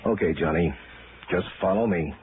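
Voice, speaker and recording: A man's voice: low voice, normal speed, over loud music, close to an everyday voice. An actor playing a skeptical, long-suffering policeman in a 1960s radio noir drama, sardonic and colloquial. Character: skeptical, grumbling New York police officer, long-suffering pal. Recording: noisy, tinny old radio broadcast.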